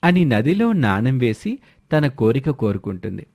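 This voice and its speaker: A man's voice: medium pitch, very animated, normal speed, darkish tone. A man narrating a scripted moral story.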